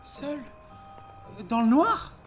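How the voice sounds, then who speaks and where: Woman voicing small boy, high voice, fretful, prim, whiny, audio drama, light music underneath. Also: big pitch swings, drawn-out words, heavily acted. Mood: worried. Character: anxious, prim little boy, younger brother. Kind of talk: French audio drama acting.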